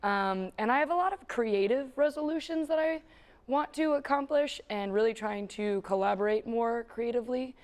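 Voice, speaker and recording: A woman with a raspy voice co-hosting a morning TV show, playful and quick to laugh. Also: a little high. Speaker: TV co-host. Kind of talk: morning TV chat.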